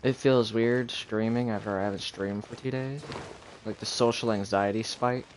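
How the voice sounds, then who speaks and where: A young adult man chatting casually on a live game stream in a rambling, halting, low-key way. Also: fairly even intonation, a little hiss or hum. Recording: game music behind voice. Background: video game music.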